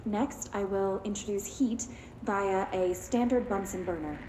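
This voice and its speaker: An actress playing a detached female researcher in a game, dictating a formal test log, clinical, deadpan and methodical. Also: mid-range voice, a little slow, lightly acted. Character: detached female lab researcher logging an experiment. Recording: echoey, noisy laboratory recording.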